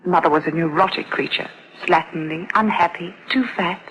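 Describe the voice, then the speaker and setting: An actress playing a proper, confiding adoption official in a radio drama, formal, deliberate and well-spoken. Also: female voice, on the low side, fairly bright tone, lo-fi sound, a little hiss or hum, natural voice. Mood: grave, confiding.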